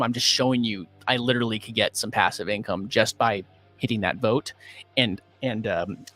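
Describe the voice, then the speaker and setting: A man's voice: on the low side, fairly bright tone, no echo. Upbeat rambling man, faint music behind. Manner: rambling, upbeat, many fillers and restarts. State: losing his voice. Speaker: livestream host. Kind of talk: casual livestream chat.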